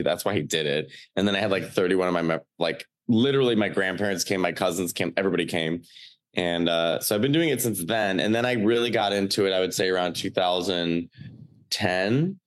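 A man in his late thirties from Chicago with a Chicago accent, a comedian chatting casually and rambling with lots of fillers. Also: deep voice. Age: 30s. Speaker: stand-up comedian guest. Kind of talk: casual podcast chat.

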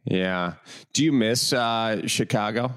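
Man, late thirties, wisconsin accent, low-ish pitch, halting easygoing host. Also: fairly bright tone, smooth. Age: 30s. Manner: easygoing, halting, many fillers. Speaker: podcast host. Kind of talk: casual interview chat.

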